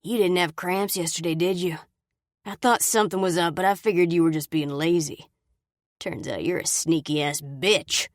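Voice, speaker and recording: A woman's voice: fairly low pitch, normal speed, no room sound, clean studio sound, lightly acted. A woman voicing a sneering, violent young man who snarls and mocks in an audiobook. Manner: snarling, mocking.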